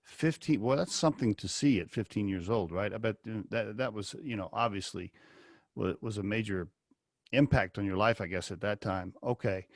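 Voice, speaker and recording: A man's voice: fairly low pitch, moderate pace, dry recording, clean studio sound. A man hosting a podcast interview over a video call, chatty and asking rambling questions. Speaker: podcast host. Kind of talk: casual interview.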